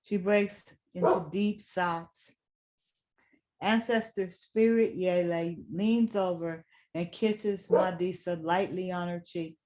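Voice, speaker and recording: A woman reading stage directions for a play in a measured, deliberate manner. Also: lowish voice, steady tone, a little mellow.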